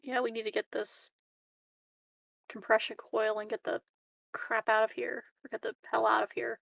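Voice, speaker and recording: A woman's voice: on the high side, little pitch movement, normal speed, gravelly, a bit bright, no echo. A woman talking casually as a player at a tabletop roleplaying game.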